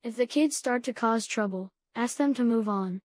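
A woman with a fairly high voice reads isolated scripted sentences for a test. The sound is dry, with no room echo. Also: moderate pace. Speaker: test prompt reader. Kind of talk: scripted example sentences read aloud.

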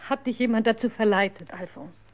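A woman's voice: fairly high pitch, brisk, close to an everyday voice. A woman playing a worried, reproachful mother of a naughty boy in a children's radio play.

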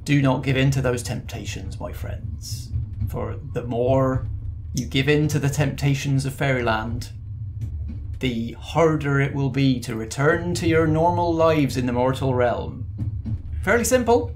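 A man's voice: medium pitch, unhurried, a little hiss or hum, close to an everyday voice. A man voicing a fussy, polite ghost train conductor who is genteel, rambling and easily offended. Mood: helpful.

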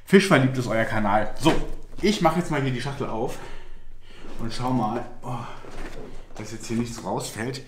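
A man's voice: mid-range voice, moderate pace, pauses often, background noise. A man in his forties, chatty and upbeat, hosting a casual unboxing vlog from a small room with shelves. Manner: chatty, upbeat, talks while handling a box. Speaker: fishing lure channel host.

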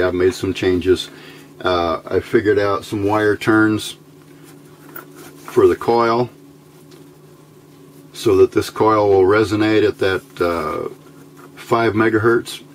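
A man with a fairly low voice talks through a hands-on electronics demonstration. He is informal and halting, working out his words as he goes. The amateur recording, made indoors at a workbench, carries some background noise. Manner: halting, informal, thinking aloud. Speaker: electronics hobbyist demonstrating a homebuilt circuit. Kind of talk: unscripted hands-on tutorial.

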